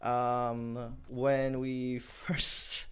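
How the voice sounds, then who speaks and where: A man's voice: mid-range voice, drawn-out words, smooth. A young man co-hosting a casual podcast chat, telling stories and laughing often. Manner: laughs often, animated storytelling. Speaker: podcast co-host.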